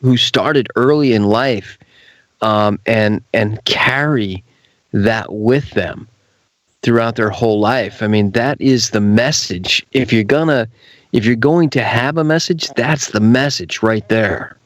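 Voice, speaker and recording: A man's voice: medium pitch, slow, no echo. A man with a mid-range voice hosts a radio interview in a conversational style. He leans on key phrases and repeats them, and the sound is very dry. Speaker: radio show host. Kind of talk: casual interview talk.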